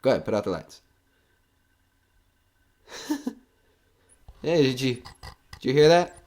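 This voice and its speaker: A man's voice: medium pitch, big pitch swings, takes it slowly, a little mellow. A man playing a nervous, skeptical broadcaster alone in a haunted house in an audio horror drama, rambling and joking anxiously. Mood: nervous, chatty.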